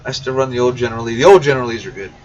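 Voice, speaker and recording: A man's voice: medium pitch, racing. A man hosting a casual livestream Q&A, talking bluntly and informally and addressing viewers directly. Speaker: CB radio shop livestream host. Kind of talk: casual livestream Q&A, reading viewer questions aloud. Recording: dull, distant mic, faint background noise.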